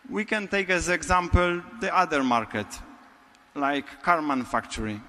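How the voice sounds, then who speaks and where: Polish-accented man, conference keynote speaker, slow and deliberate, bright tone, reverberant hall. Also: a little high. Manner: slow, deliberate delivery with long pauses between phrases. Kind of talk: prepared keynote speech.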